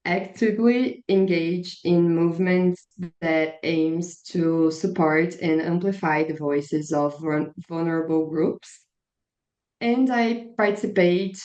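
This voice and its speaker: Slow, low-voiced Brazilian woman. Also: a little mellow. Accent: Brazilian Portuguese. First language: Brazilian Portuguese. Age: 20s. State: slightly strained voice. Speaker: guest, biologist and climate activist. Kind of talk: podcast interview answers. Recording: echoey room, dull lo-fi sound.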